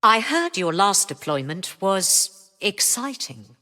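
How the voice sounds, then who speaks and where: An actress playing a cynical, commanding spaceship mistress in a video game, imperious, dismissive and dryly sardonic. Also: female voice, mid-range voice, sing-song, slow, sharp tone, heavily acted. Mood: wry, guarded. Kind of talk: scripted video game voice lines. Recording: long reverberant hall echo.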